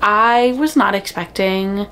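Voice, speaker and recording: A woman's voice: medium pitch, takes it slowly, fairly bright tone. A young woman with a mid-range voice talks in a casual vlog style. She gushes in slangy phrases and stresses words dramatically, as if chatting with friends. A light layer of background noise sits under the recording. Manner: gushing, dramatic emphasis, slangy. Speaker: book vlogger.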